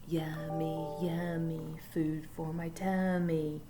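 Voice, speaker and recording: A woman's voice: sits low, level tone, slow pace, over music. A woman chanting as hungry singing sharks. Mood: teasing chant. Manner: sing-song chant.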